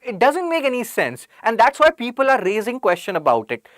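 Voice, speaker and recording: A woman's voice: on the high side, huge pitch swings, brisk, no room sound. Indian english lady teacher, chatty and sarcastic, voice swoops all over, dry close sound. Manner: chatty, jokes and sarcastic asides; reads out student names from chat. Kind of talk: online exam-prep lecture.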